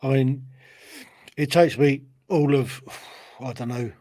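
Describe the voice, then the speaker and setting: A man's voice: mid-range voice, takes it slowly, mellow. A man with a mid-range voice hosts an interview in an easygoing way. His voice sounds close and dry, with no room echo. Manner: easygoing, laughs along. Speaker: podcast host. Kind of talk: casual interview chat.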